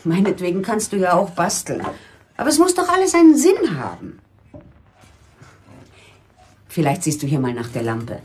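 A woman's voice: fairly low pitch, wildly swooping pitch, normal speed, long pauses, darkish tone, breathy edge, full character voice. An actress playing a nagging, worried middle-aged mother in a German radio play, fussing and reproachful in manner.

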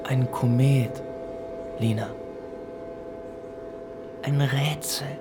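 An actor playing an intense, grandiose young lover in a German radio play, speaking in a declamatory, dramatic way. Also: male voice, mid-range voice, steady tone, very slow, noisy background. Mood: fervent, desperate.

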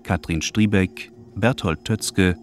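A man announces a radio drama in a deep voice. His scripted delivery is formal, measured and slow, with music playing underneath.

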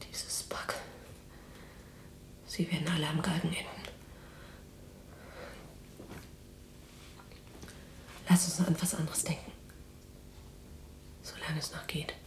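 An actress playing a weary but defiant, tender imprisoned resistance fighter in a German radio drama, speaking in a breathy, halting, intimate hush. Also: female voice, low voice, reverberant. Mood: guarded, reassuring. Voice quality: breathy whispering. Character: exhausted, defiant, tender imprisoned resistance woman in wartime Netherlands.

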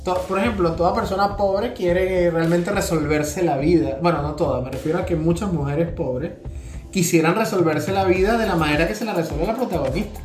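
A man's voice: sits high, brisk, darkish tone. Venezuelan man explaining things in casual conversation. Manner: explanatory, often checks with "¿no?". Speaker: podcast co-host. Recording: roomy echo with music behind. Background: music.

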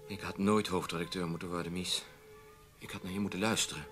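Older editor-in-chief, deep sharp-edged voice, resigned and full of self-doubt, radio play. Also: male voice, level tone, a little fast, faint music behind. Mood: resigned, regretful. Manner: resigned, lamenting. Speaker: actor. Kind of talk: radio drama dialogue.

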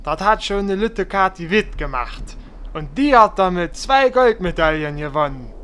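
A man's voice: high-pitched, lively intonation, normal speed, a bit bright, smooth. An actor playing a chatty, folksy and whiny male adventurer in a parody fantasy audio play. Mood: folksy, cheerful.

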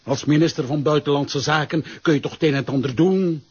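A man's voice: a little high, brisk, darkish tone, husky. An actor playing a scheming, coolly persuasive man in a radio drama, insinuating in manner, with a Flemish accent. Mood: insinuating pressure. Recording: dull lo-fi radio drama recording.

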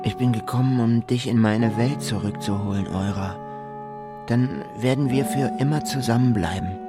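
A man's voice: mid-range voice, normal speed, close to an everyday voice. An actor playing a desperate, earnest man searching for his lost beloved in a radio drama. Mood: yearning, relieved. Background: music.

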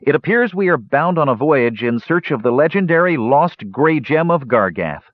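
A man's voice: fairly high pitch, expressive, moderate pace, no room sound, phone-quality sound, voice pushed up. A man voicing an anxious, earnest young male mage in an audiobook fantasy tale, speaking urgently and rather formally. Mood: grave.

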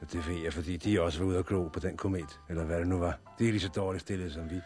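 Male actor, low voice, blunt survivor leader, gruff, persuasive, 1960s radio drama. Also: steady tone, a little mellow, faint music behind, lightly acted. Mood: blunt, irritated. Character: blunt, foul-mouthed, forceful leader among disaster survivors.

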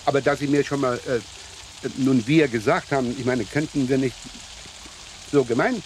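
A man's voice: medium pitch, normal speed, a little hiss or hum, natural voice. An actor playing a flustered, evasive man in a crime radio play, stammering and nervously polite. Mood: sheepish, polite. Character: flustered, evasive, deferential man disposing of a corpse.

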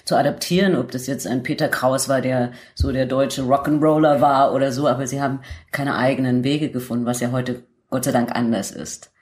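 A woman's voice: very deep voice, steady tone, a little fast, few pauses, a little mellow. A woman hosting a radio interview, talking in a chatty, conversational way. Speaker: radio talk show host.

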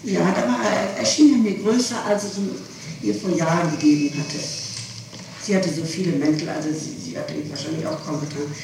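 A woman's voice: fairly low pitch, steady tone, a little fast. A middle-aged woman answering questions in an interrogation, hesitant, repeating and correcting herself. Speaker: witness under questioning. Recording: echoey, distant, noisy 1960s archive tape.

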